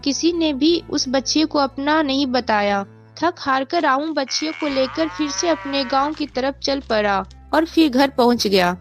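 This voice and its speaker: A woman's voice: high voice, a little slow, few pauses, music in the background. A woman narrator reading a scripted bedtime story for children.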